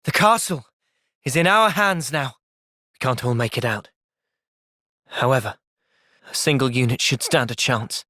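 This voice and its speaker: A young man playing an earnest, thoughtful young soldier hero in a fantasy video game's cutscenes, with expressive delivery. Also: medium pitch, unhurried, long pauses, dry recording, clean studio sound. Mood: calm, thoughtful. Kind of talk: video game cutscene acting.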